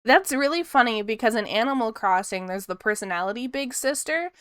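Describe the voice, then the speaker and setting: A woman's voice: fairly high pitch, animated, brisk, fairly bright tone, smooth, dry recording, studio quality. A woman streaming her art, chatting casually and rambling with frequent laughs, in a soothing voice. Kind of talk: casual chat while drawing on stream.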